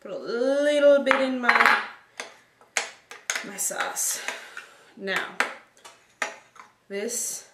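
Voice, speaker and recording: A woman's voice: on the high side, lively intonation, very slow, long pauses, heavy background noise. A young woman hosting a casual home cooking demonstration, chatting in an upbeat way while she works with her hands in her kitchen. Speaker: cooking show host. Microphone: camera mic from a few meters away. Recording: roomy kitchen sound from a distant camera mic. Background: clinking dishes and pans.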